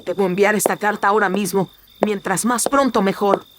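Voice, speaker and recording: A man's voice: fairly even intonation, moderate pace, very noisy. A man voicing an anxious but brave young noblewoman in a horror radio drama, earnest and melodramatic, through a voice filter. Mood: anxious, desperate. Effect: pitch-shifting voice filter. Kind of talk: Spanish-language horror radio drama acting.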